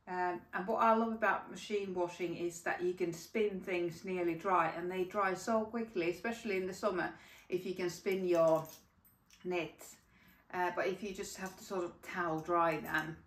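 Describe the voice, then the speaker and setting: A woman's voice: medium pitch, a bit bright, distant mic. A middle-aged woman from Finland, chatting casually as a podcast host at home, rambling with many fillers. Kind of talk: casual chat. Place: home room by a yarn cupboard. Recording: echoey room, voice set back from mic.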